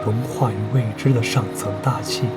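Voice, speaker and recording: A man's voice: medium pitch, fairly even intonation, a little fast, lightly acted. A man playing the solemn storyteller of an eerie radio drama, narrating in descriptive, literary Mandarin. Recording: loud music behind narration.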